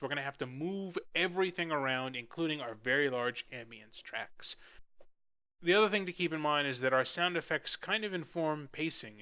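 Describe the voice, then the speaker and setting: Young male tutorial host, casual and self-deprecating, bright and clean tone. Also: on the high side, clean tone. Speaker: audio drama editor giving a tutorial.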